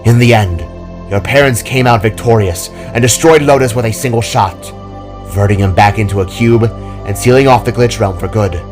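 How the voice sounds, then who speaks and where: Casting: man reading as wise kitsune guardian, protective and solemn, lowish register, storytelling over music. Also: normal speed, natural voice. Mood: grave.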